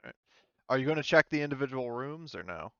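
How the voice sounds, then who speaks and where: A man's voice: mid-range voice, lively intonation, fast talker, sharp tone, clean tone, no room sound, muffled recording. A man speaking casually as game master of a tabletop roleplaying game, improvising his narration.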